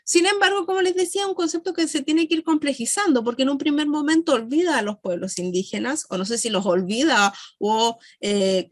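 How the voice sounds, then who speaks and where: A woman's voice: fairly high pitch, no room sound, clean studio sound. A woman lecturer with a Chilean accent giving a class, explaining at length, posing rhetorical questions and answering them, with frequent fillers and self-corrections. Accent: Chilean Spanish. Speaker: university lecturer.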